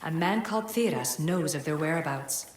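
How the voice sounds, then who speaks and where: Ancient female oracle for a game: low, echoing, solemn, measured, music underneath. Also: animated. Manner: formal, measured, oracular. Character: ancient godlike female being, serene, cryptic, solemn. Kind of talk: video game voice acting.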